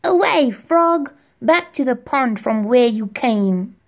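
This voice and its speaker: A woman voicing a small, earnest boy who plays at being a knight, asking anxious, pleading questions in a raised, high voice. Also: lively intonation, takes it slowly. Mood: boastful.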